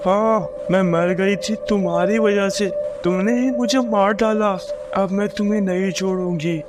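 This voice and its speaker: A man's voice: sits high, steady tone, measured pace, noisy background. A man voicing a vengeful schoolgirl ghost turned witch in a horror cartoon story. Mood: accusing, threatening.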